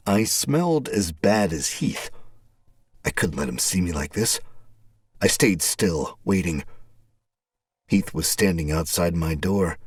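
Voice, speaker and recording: A man delivering scripted first-person horror narration in a dramatic, suspenseful way, with deliberate phrasing. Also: low voice, breathy edge, no echo, clean studio sound. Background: music.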